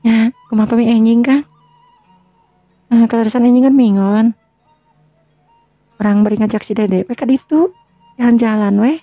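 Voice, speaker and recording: A woman's voice: a little high, moderate pace, long pauses, dry recording, close to an everyday voice. A woman with a Sundanese accent playing a considerate young wife in a conversational, slightly hesitant radio-drama style. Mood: thoughtful. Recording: dull lo-fi recording with music.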